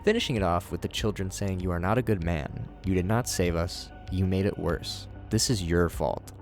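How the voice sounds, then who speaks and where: A man's voice: low-pitched, normal speed. A man giving scripted video commentary as the host of the show. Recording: music under the voice. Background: background music.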